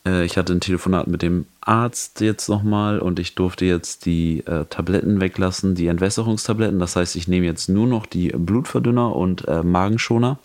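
A man with a hoarse voice from a cold, co-hosting a casual podcast chat and talking in a halting way, searching for words. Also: low voice, a little mellow. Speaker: podcast co-host.

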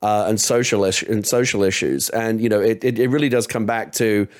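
A man's voice: lowish voice, a little fast. A man speaking as a podcast guest, emphatic and opinionated, stumbling into restarts in casual discussion. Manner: emphatic, stammering restarts when excited.

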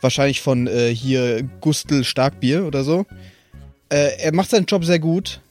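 A man's voice: mid-range voice, steady tone, a little slow, a little mellow. A man chatting casually as a podcast co-host about making game characters. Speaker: podcast co-host and tabletop role-player. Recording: music playing behind. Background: background music.

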